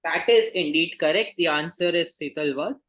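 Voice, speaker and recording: Male quizmaster, slightly high-pitched, formal and measured delivery of trivia questions. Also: fairly bright tone. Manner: formal, measured question reading with set confirming phrases. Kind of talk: reading quiz questions in an online quiz game.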